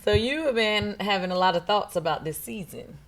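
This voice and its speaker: A hoarse woman hosting a casual talk show, outspoken and blunt in her opinions. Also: mid-range voice, brisk, loud music behind the voice, over a noisy crowd, low-quality recording. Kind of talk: casual gossip chat.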